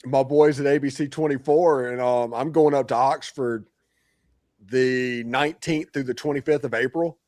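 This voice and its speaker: A man from Tennessee co-hosting a casual sports podcast, telling stories quickly. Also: medium pitch, fairly even intonation, a little mellow, dry recording, studio quality. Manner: quick, storytelling. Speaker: podcast co-host.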